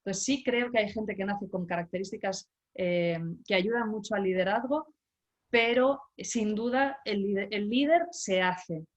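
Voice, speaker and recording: Measured middle-aged woman coach. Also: mid-range voice, muffled recording. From Galicia, Spain. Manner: measured, explanatory, with small restarts. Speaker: leadership coach. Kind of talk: interview answers.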